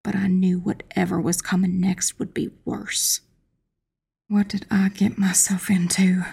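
Breathy, gravelly witch heroine, voiced by a woman. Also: medium pitch, little pitch movement, measured pace, exaggerated voice. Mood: tense, weary. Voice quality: breathy, husky, creaky. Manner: intense, hushed, dramatic first-person narration. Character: determined young witch telling her own battle. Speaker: narrator. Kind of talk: dark fantasy audiobook narration.

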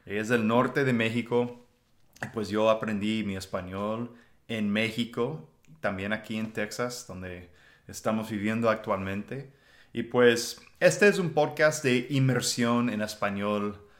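A man with an American accent hosting a casual podcast in Spanish, relaxed and quick to laugh. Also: fairly low pitch. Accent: American English. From United States. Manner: relaxed, unhurried, laughs easily. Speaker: podcast host. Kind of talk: casual chat.

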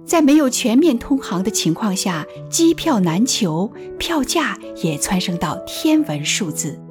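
A woman narrating a scripted radio drama in a measured, dramatic manner. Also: mid-range voice, big pitch swings, music in the background.

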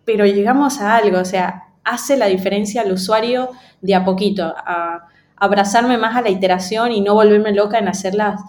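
A woman's voice: mid-range voice, moderate pace, a little mellow. Woman, slang, candid interview guest, mid-range voice, roomy echo. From Ecuador. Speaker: interview guest, design professional. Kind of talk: casual conversational interview. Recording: roomy, echoing sound.

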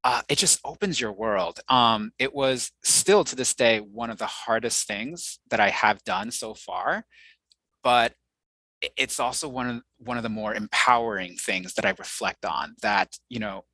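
Bright, dry-sounding young man. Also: mid-range voice, measured pace, breathy edge, no room sound, rough recording. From United States. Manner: thoughtful, many restarts and fillers. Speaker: guest, researcher. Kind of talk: conversational interview.

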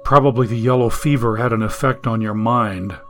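A man reading an audiobook, voicing a calm modern man who steadily reassures a frightened friend. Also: medium pitch, fairly quick, faint music behind, pitched below the performer's own voice, natural voice. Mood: reassuring. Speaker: audiobook narrator.

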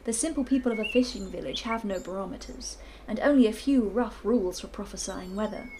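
A woman's voice: a little high, a little mellow, a little hiss or hum, natural voice. A prim, fussy scholar who lectures in precise, slightly pompous sentences, as a woman puts him on. His voice sits a little above her own. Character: prim fussy male professor, rational skeptic.

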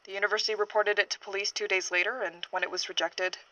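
A woman playing a troubled university scientist giving an earnest, halting witness statement in a horror audio drama. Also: medium pitch, level tone, sharp tone, close to an everyday voice, rough recording. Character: troubled female university biologist reporting a missing colleague.

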